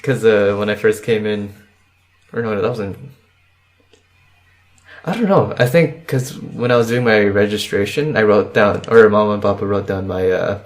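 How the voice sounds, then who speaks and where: A young man chatting casually as a podcast guest, quick and rambling, laughing often. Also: fairly low pitch. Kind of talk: casual chat.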